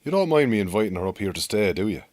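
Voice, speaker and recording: An actor playing an easygoing, coaxing Irish farmer husband in a radio drama, a little defensive, with an Irish accent. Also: male voice, lowish voice, sing-song, racing, a little mellow, no echo, studio quality. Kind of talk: naturalistic radio drama dialogue.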